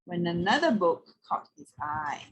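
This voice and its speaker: Husky woman reading a children's story expressively. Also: lowish voice, unhurried, poor audio. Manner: expressive, addressing young listeners. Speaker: read-aloud storyteller. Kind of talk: children's picture book read-aloud.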